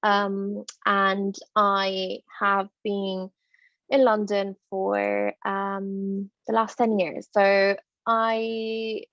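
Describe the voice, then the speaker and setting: A woman's voice: mid-range voice, monotone, very slow, vocal fry, a bit bright, dry recording, lo-fi sound. A woman from northern Italy with an Italian accent, a dentist giving an online masterclass in a chatty way, full of fillers and restarts. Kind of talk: online presentation on a video call. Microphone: video call.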